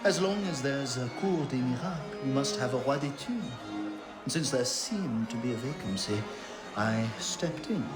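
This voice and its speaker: A man's voice: mid-range voice, expressive, normal speed, close to an everyday voice. Man playing flamboyant sardonic underworld king, swooping delivery. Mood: smug, amused. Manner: theatrical, florid, mocking. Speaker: video game character actor. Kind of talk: dramatic game cutscene acting. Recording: roomy echo under loud music.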